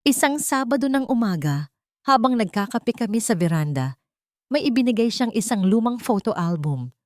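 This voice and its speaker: A woman reading a sentimental story as a scripted narrator, in a measured, earnest storytelling manner. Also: fairly high pitch, big pitch swings, no echo, studio quality.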